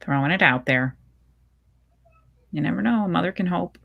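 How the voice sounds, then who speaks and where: A woman acts out a middle-aged mother in a story reading. Her voice is husky and a little low, with plenty of creak. She speaks soothingly and affectionately, reassuring her grown child. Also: animated, moderate pace, highs cut off. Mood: lightly teasing. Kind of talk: dramatic short story reading.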